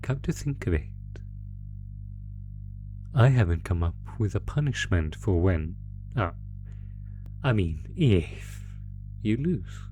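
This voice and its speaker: Sadistic monster played by a man, low-pitched and unhurried, taunting a captive with mock courtesy, horror audio drama over music. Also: big pitch swings, warm tone, full character voice. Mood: savoring, cruel. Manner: slow, taunting, mock-polite, savoring pauses. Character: sadistic male monster gleefully toying with a captive. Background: musical score.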